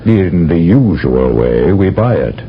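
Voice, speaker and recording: An actor playing a grave, wry military general who gives measured orders in a 1970s radio drama. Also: male voice, low voice, big pitch swings, heavily acted. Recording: dull old radio recording with faint noise.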